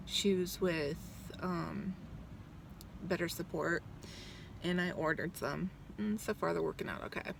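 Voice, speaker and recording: A woman's voice: fairly low pitch, gravelly, background noise. A woman in her thirties chatting casually in a vlog, blunt and swearing freely, with lots of ums, recorded outside a doctor's office. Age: 30s. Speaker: vlogger. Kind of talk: casual rambling vlog chat.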